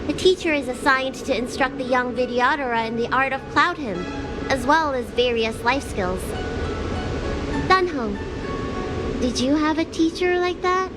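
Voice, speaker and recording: A woman's voice: sits high, unhurried. A woman playing an earnest young dragon-girl healer in a video game, insecure yet hopeful. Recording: loud music under the voice.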